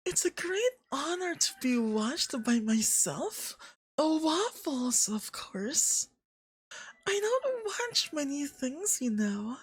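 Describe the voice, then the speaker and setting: Someone doing a smug femboy god for asmr, high and swoopy, super full of himself, flirty and possessive, breathy. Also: sing-song, heavily acted. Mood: haughty, possessive.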